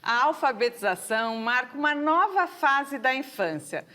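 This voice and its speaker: A woman hosting a TV talk show, introducing guests and asking questions as a brisk interviewer. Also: high voice, expressive, pushed and bright. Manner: brisk interviewer, frequent affirming "uhum". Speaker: TV show host.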